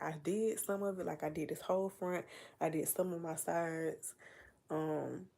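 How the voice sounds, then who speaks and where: Young woman, livestream host, congested cold voice, low-pitched with some vocal fry, chatty and meandering. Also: mellow. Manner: chatty, meandering, full of fillers and asides. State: stuffy nose from a cold. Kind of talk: casual rambling livestream chat.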